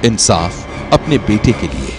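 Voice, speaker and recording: A man's voice: fairly low pitch, lively intonation, slow. A man narrating a crime news report from a script in an emphatic, theatrical way. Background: background music.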